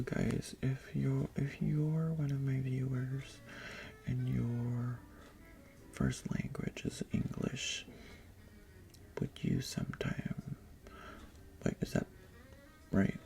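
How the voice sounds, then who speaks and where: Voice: mid-range voice, a little mellow. A young adult Tagalog speaker, rambling in a chatty whispered ASMR chat in a bedroom, often breaking off mid-sentence. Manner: rambling, chatty, self-interrupting whisper with sudden breathy exclamations. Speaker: ASMR creator. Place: bedroom indoors.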